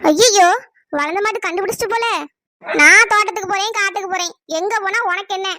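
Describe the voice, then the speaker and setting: A very high, bright cartoon voice from a woman for a defiant village woman. She mutters complaints and snaps back with indignant excuses, in a dry, close sound. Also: quick pace, no room sound. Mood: grumbling, flustered. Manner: grumbling asides, indignant retorts. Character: gossipy village woman, evasive, defiant, easily irritated. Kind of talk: cartoon village comedy dialogue.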